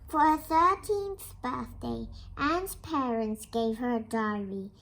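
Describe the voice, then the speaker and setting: High-pitched young girl reading a storybook. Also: slow pace, fairly bright tone. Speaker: reader of a children's book. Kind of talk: read-aloud children's storybook narration. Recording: clear background noise.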